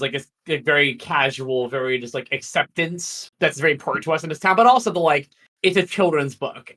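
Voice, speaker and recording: A man with a fairly high, very bright voice talks casually as a guest author on a livestream. He explains his thinking in a rambling, thoughtful way, feeling out each point as he goes.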